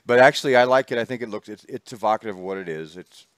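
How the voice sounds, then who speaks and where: A man's voice: fairly low pitch, fast talker, clean tone, no echo. A man hosting a casual chat show, rambling and laughing as he talks. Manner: chatty, rambling, laughs often. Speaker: host. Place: small studio.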